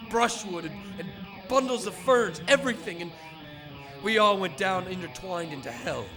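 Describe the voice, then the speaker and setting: Haunted, high-voiced defiant son. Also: male voice, expressive, over music, rough recording. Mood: panicked, desperate. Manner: halting, repetitive, intense recounting. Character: haunted, defiant adult son who escaped underground. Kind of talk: live stage radio drama, dramatic storytelling. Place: stage before a studio audience.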